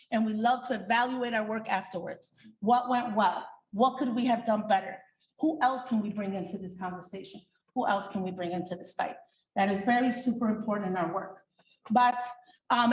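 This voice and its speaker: Woman, coalition organizer, calm contemplation guide, slightly high voice, distant mic in an echoey hall. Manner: slow, soft, calming counted breathing. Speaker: faith-based coalition organizer. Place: event hall. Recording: distant, echoey room with faint noise.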